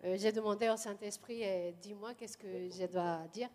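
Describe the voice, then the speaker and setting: A woman from Mexico, speaking with a Mexican Spanish accent in her second language, giving a halting personal testimony in church. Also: mid-range voice, steady tone, warm tone. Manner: hesitant, halting, many pauses.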